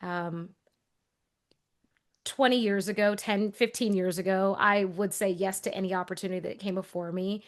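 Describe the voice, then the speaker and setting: A woman's voice: medium pitch, steady tone, normal speed. A woman in her forties, raised in Texas, speaks in a neutral accent with no regional drawl. She co-hosts a podcast and answers questions in a reflective, conversational way. Her delivery is earnest and thoughtful, with small restarts as she gathers her thoughts. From Texas, USA. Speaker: podcast co-host.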